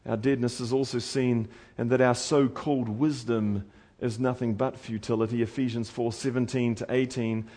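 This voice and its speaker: A man from New Zealand with a New Zealand accent, preaching a sermon in an earnest, pleading way, rising to loud urgency and dropping to quiet intensity. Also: medium pitch, flat delivery, normal speed.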